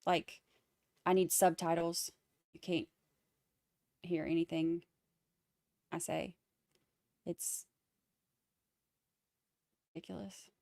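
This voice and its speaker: A woman's voice: on the low side, flat delivery, slow pace, creaky, long pauses, mellow, no room sound, clean studio sound. A woman with a Boston accent hosting a live trial commentary stream, chatting wryly and reading out viewer comments. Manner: chatty, wry, rambling asides.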